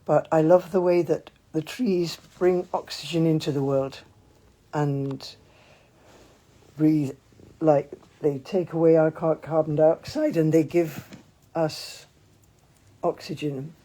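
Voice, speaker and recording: A woman leading a casual mindfulness livestream from home, talking in a chatty, meandering, gently informal way, with a hoarse voice, recorded on a phone. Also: low-pitched, level tone, takes it slowly, long pauses. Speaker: mindfulness teacher. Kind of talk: casual livestream with guided breathing. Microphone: phone, handheld at times.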